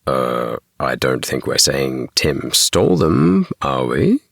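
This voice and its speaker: Mock-stern but mild father in a kids' audiobook, voiced by a male narrator, extremely deep, dry and close. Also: wildly swooping pitch, takes it slowly, creaky, a bit bright, dry recording, exaggerated voice. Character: mild, reasonable, slightly cowardly father.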